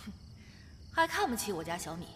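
A woman playing a bold, protective young woman with an expressive, theatrical delivery in a radio drama. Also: mid-range voice, fairly quick, close to an everyday voice. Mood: indignant. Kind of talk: modern Chinese radio drama.

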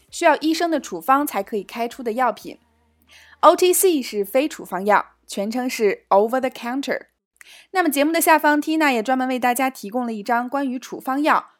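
A woman, a native Mandarin speaker, hosting a language lesson in scripted narration with a bright, upbeat way of explaining. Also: fairly high pitch. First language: Mandarin Chinese. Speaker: host and language teacher.

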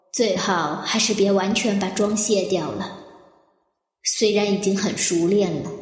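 A woman's voice: mid-range voice, darkish tone, lightly acted. A woman playing a grieving, determined heroine in a radio drama, with a dramatic, intense delivery. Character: grieving, determined woman seeking truth and revenge. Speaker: voice actor. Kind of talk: radio drama dialogue and monologue. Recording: echoey, reverberant hall.

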